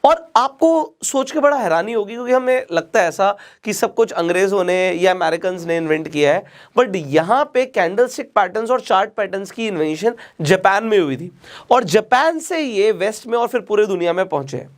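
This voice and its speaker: A man from India with a sore, slightly hoarse throat, teaching a lesson and talking straight to his viewers, slipping English phrases into his Hindi. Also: sits high, expressive, fairly quick. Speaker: trading teacher. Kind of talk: explaining lesson to camera.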